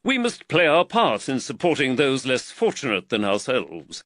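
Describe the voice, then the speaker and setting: A man reading an audiobook voices an earnest, fretful London magistrate, speaking higher, louder and more emphatically than in his narration. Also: wildly swooping pitch, moderate pace, dry recording, clean studio sound. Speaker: magistrate and employer.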